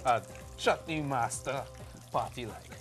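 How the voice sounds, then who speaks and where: A man's voice: mid-range voice, unhurried, over music. A middle-aged man co-hosting a TV cooking show on a studio kitchen set, upbeat and playful as he hypes the food. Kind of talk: TV presenter chat.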